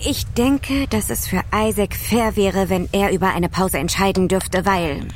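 A woman playing a quiet but resolute pregnant astronaut in an audio drama. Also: mid-range voice, unhurried, slight background noise, lightly acted. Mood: tentative.

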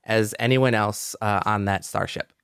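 A man's voice: fairly low pitch, steady tone, unhurried, creaky, a bit bright, clean tone, dry recording, clean studio sound. A man hosting a podcast in casual conversation, talking with an enthusiastic, conversational manner. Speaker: podcast host.

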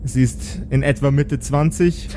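A man hosting and narrating an improvised tabletop audio drama as game master, measured and descriptive. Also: medium pitch, steady tone, background noise. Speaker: game master and podcast host.

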